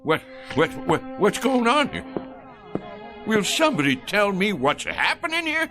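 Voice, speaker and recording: A man's voice: sits high, huge pitch swings, a little slow, loud music behind the voice, heavily acted. An actor playing a folksy rural American tall-tale teller in his sixties, drawling and rambling in a radio drama. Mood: bewildered. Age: 60s. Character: folksy sixty-three-year-old tall-tale-telling rural man.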